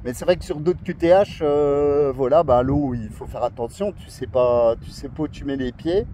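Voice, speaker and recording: Male radio hobbyist, soft mellow tone, enthusiastic casual chat, faint outdoor noise behind. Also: a little high, moderate pace. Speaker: amateur radio operator filming a video of his radio contact. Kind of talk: casual, chatty radio conversation. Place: outdoors at a scenic coastal spot.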